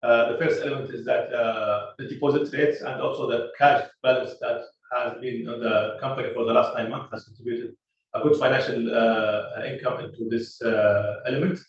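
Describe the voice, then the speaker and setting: A man presenting financial results as a company executive on an earnings call, speaking in a halting, measured way with many hesitant pauses and uhs. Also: mid-range voice, steady tone. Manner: halting, measured explanation of figures. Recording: echoey, distant, muffled conference call audio.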